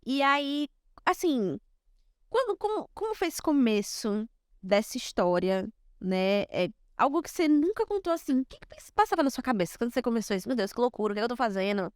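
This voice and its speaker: A woman co-hosting a stage talk, asking the guest eager, rapid questions. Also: fairly high pitch, big pitch swings, a little mellow, no echo, clean studio sound. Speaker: co-host interviewer. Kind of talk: interviewing on stage.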